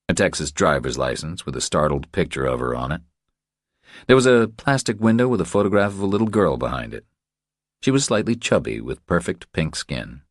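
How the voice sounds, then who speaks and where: A man's voice: very deep voice, dry recording, studio quality. A man reading scripted narration from a thriller novel as an audiobook narrator.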